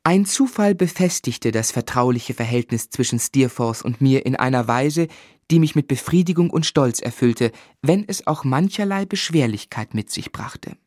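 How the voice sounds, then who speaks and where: An actor playing a grown man who fondly recalls his schooldays, narrating a literary radio drama in a measured, reflective way. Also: medium pitch, animated, darkish tone, no echo, clean studio sound, natural voice.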